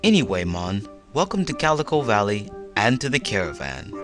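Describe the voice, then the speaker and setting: A man's voice: fairly low pitch, very animated, normal speed. A man voicing a cheerful, friendly wishing-well creature with a Jamaican accent and an encouraging, laid-back manner, over music. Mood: friendly, reassuring.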